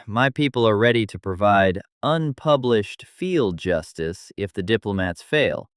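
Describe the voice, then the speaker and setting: A man acts a grim, seasoned retired agent in an audio thriller. The voice is on the low side with lively, emphatic melody, closely recorded. The manner is serious and hard-boiled. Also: no room sound, studio quality. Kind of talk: detective audio drama.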